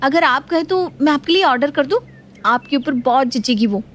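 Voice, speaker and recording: Young daughter-in-law, high voice, naive chatterbox with a childlike streak, put on by a woman storyteller. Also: animated, moderate pace, fairly bright tone. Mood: chatty. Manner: questioning, talkative.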